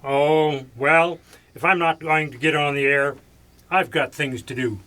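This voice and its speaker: An actor playing a flippant, touchy radio presenter in an audio drama. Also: male voice, on the high side, fairly even intonation, a little slow, sharp tone. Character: flippant, touchy male radio presenter, always running late. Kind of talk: audio theater acting.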